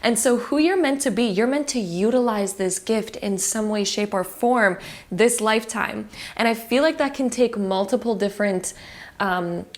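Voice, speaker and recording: A woman giving a pick-a-card tarot reading to her viewers, soft and affirming, her voice a little cracked from having just woken up. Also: fairly high pitch, normal speed. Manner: soft, affirming and spiritual, full of fillers. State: voice cracking after just waking.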